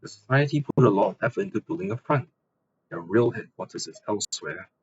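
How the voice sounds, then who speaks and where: An actor playing a calm, observant investigator in a video game, speaking analytically. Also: male voice, on the low side, fast talker, natural voice. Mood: resolved. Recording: dull audio, faint music behind. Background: game background music.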